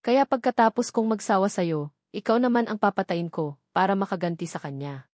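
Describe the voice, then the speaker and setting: A man's voice: high voice, fairly quick, no echo, studio quality, close to an everyday voice. A woman voicing a menacing, vengeful male crime boss who taunts and sneers in a storybook reading. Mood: taunting, menacing.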